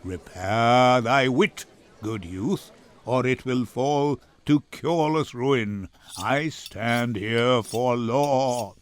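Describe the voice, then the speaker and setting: An older man from India voicing a vengeful old moneylender, cold and deliberate, in a dramatic Shakespeare reading. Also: medium pitch, clear, resonant tone. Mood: cold scorn. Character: grim, unyielding, vengeful old male moneylender.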